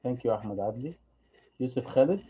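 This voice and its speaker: A man teaches English in a calm, mid-pitched voice. He drills short phrases slowly and patiently so students can repeat them. The recording sounds dull and lo-fi. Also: heavy background noise. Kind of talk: online English lesson.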